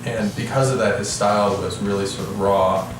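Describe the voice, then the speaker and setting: Distant, echoey man telling stories, low voice. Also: steady tone, moderate pace. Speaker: musician interviewee. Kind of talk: casual interview storytelling. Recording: echoey room, distant mic, loud music behind. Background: music.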